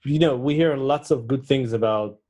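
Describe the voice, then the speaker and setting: A man who is a journalist and co-creator, explaining a situation in a measured, conversational way. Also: mid-range voice, a little mellow.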